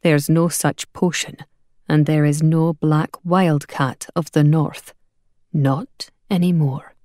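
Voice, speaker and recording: A woman voicing a quiet, unruffled man in an audiobook, speaking low and slowly in a flat, deadpan way. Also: no echo, studio quality. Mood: flat, firm. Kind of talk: audiobook character voice.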